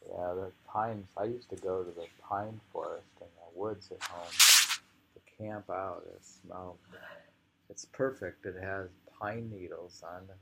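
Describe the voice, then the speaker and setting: A man reading poems slowly and commenting on them casually, in an unhurried, musing way with drawn-out phrases and pauses. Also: sits low, steady tone, vocal fry, heavy background noise. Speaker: poetry reader and commentator.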